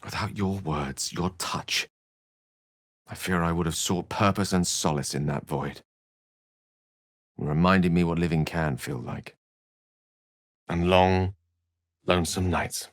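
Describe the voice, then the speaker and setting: A man's voice: deep voice, takes it slowly, long pauses, no echo, studio quality. A man playing an eloquent, witty and self-assured wizard in a video game, with articulate, florid, wry delivery. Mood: tender. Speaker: game character voice actor. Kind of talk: theatrical video game character dialogue.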